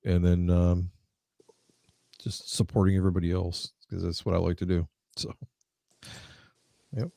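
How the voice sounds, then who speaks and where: A man nearing fifty, a guest on a casual live stream, talking haltingly with many fillers. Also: sits low, little pitch movement, creaky, warm tone, no room sound. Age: 40s.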